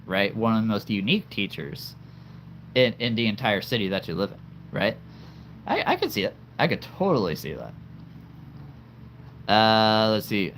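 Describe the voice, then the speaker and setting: A man's voice: on the low side, fairly bright tone, slight background noise. A man hosting a livestream in casual chat, laughing often and stammering over repeated words. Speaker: livestream host.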